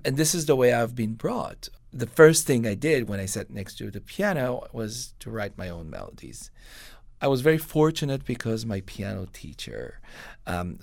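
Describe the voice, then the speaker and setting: A middle-aged man from Israel, a composer being interviewed, telling stories in a relaxed, rambling way with lots of fillers and self-corrections. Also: on the low side, gravelly, no room sound, clean studio sound. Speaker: composer guest.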